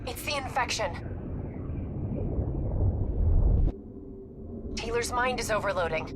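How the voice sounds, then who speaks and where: A woman acting as a mission-support operative in a war game. Her voice is fairly high and very animated, urgent and clipped, with loud vehicle and battle noise behind. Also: a little fast, natural voice. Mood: worried. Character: focused female mission-support operative guiding soldiers. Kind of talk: cinematic military game acting.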